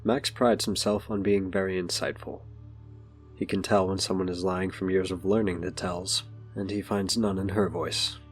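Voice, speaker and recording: A man's voice: low voice, level tone, normal speed, soft background music, close to an everyday voice. A man narrating a scripted superhero audio drama. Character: narrator of a superhero adventure story.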